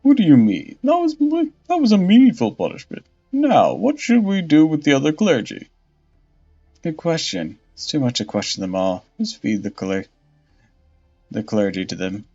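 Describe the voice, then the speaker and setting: Guy voicing a fawning excited servant dude, bouncy pitch, dull lo-fi sound. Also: unhurried, vocal fry, pauses often, exaggerated voice. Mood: eager. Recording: dull lo-fi audio with faint music.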